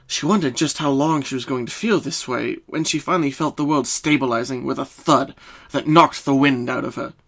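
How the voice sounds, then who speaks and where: A man's voice: medium pitch, fairly quick, creaky, a bit bright. A man reading scripted story narration as a dramatic narrator.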